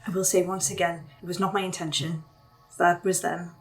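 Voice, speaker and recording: Woman voicing a cunning cursed shapeshifter, wry, bargaining, low-ish voice, faint music. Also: level tone, brisk, natural voice, rough recording. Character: cunning cursed woman who turns into a raven.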